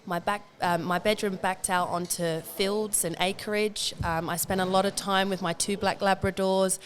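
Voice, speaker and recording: A woman's voice: medium pitch, steady tone, normal speed. A woman in her thirties from England with an English accent, chatting upbeat as an interview guest. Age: 30s. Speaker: guest, boxer. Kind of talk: casual interview chat.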